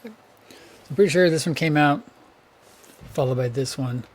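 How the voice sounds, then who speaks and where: A hoarse man who is losing his voice, hosting a casual collector vlog, rambling and correcting himself as he thinks aloud. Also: fairly high pitch, unhurried, slight background noise. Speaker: VHS collector channel host.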